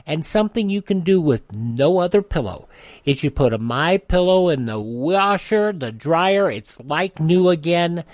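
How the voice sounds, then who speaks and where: An American man hosting radio, delivering a host-read sales pitch. He sounds folksy and salesy, recorded dry with no room sound. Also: darkish tone, dry recording.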